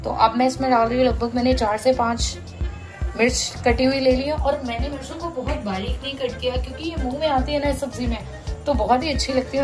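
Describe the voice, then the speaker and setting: A woman narrating a home recipe step by step in a conversational, explanatory way. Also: fairly high pitch. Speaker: home cooking vlogger and host.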